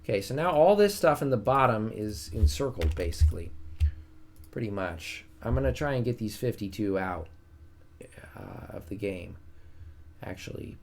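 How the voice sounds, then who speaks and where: A man's voice: on the low side, expressive, moderate pace, creaky, a little hiss or hum. Soothing-voiced man chatting on a game stream. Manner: chatty, self-mocking, reads and answers chat. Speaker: streamer playing a strategy game. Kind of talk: casual live-stream chat with viewers.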